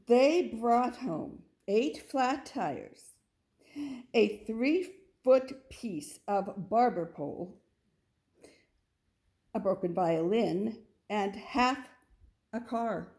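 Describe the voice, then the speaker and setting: A woman's voice: fairly high pitch, animated, very slow, pauses often, a little mellow. A woman narrating a children's story as a read-aloud. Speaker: storybook reader.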